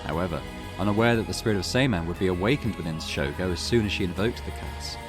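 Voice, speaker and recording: A man's voice: low-pitched, fast talker. A man hosting a gaming channel, reading a visual novel's text aloud and adding casual asides. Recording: game music playing behind.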